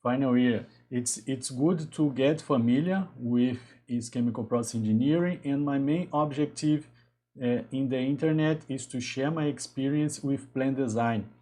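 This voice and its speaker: A man in his thirties from Brazil with a Brazilian Portuguese accent, talking casually on a live stream in a halting way with frequent restarts. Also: medium pitch, mellow. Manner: halting, restarts often, greets viewers. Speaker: engineer hosting a live stream.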